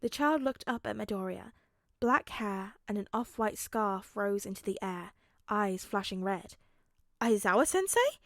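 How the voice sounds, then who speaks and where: A woman's voice: medium pitch, steady tone, measured pace, creaky, no echo, clean studio sound. A woman reading a story aloud as scripted audiobook narration. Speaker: audiobook reader.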